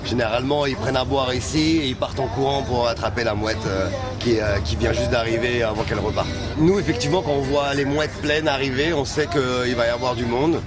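Male bar worker, matter-of-fact talk, outdoor interview with clear music behind. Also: medium pitch, fairly quick, hardly any pauses. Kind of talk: casual interview.

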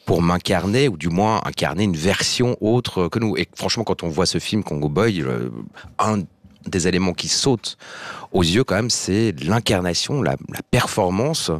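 A man's voice: low-pitched, lively intonation, normal speed, dry recording. A man hosting an interview in a studio, asking long, winding questions. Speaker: interview host.

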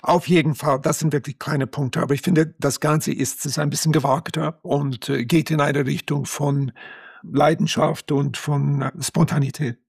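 Husky-voiced man, speaking German. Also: fairly high pitch, steady tone, moderate pace, darkish tone. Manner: hesitant, with fillers and picky asides. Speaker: music critic panelist. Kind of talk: casual panel discussion.

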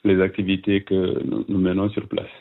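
A man in his fifties from Burkina Faso, a guest speaking by phone, hesitant with many fillers and soft laughs. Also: deep voice, monotone, moderate pace. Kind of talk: phone interview. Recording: tinny phone line.

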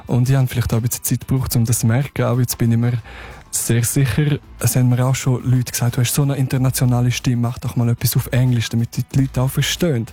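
Husky, mellow Romansh-speaking man. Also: mid-range voice, little pitch movement, fast talker. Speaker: singer being interviewed. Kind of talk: reflective casual interview talk.

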